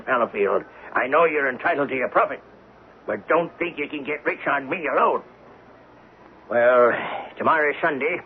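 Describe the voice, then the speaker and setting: Hemming, genteel businessman in old radio drama. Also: fairly high pitch. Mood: pleased, businesslike. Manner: hemming, chuckling, genteel speech. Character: affluent coin-collecting businessman, polite, excitable, hesitant with his maid. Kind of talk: 1970s radio drama acting. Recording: noisy, phone-like old radio recording.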